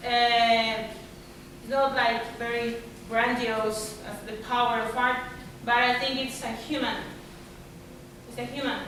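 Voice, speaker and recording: A woman from Argentina talks through her art in a lecture hall, her voice bright and a little high. She pauses and hunts for words, and the recording is picked up from across a very echoey room. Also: distant mic, a little hiss or hum. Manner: halting, searching for words, many fillers. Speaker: artist at a symposium. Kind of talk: reflective Q&A answer.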